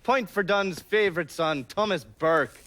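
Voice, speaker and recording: A man's voice: high voice, unhurried, background noise. An actor playing a sardonic informant in a video game, swearing as he relishes telling colourful gossip. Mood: amused, mocking. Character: wry, foul-mouthed informant, relishing his gossip. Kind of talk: video game cutscene acting.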